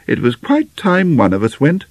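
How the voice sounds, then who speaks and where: A man's voice: a little high, huge pitch swings, normal speed, darkish tone, no echo, pitched above the performer's own voice. A man voicing a placid, genteel middle-aged mother in an audiobook, with fussy endearments and mild surprise. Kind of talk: audiobook character dialogue.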